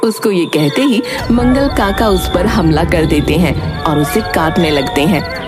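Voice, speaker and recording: A woman's voice: on the low side, unhurried. Woman narrating a horror cartoon. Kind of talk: scripted horror story narration. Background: loud music.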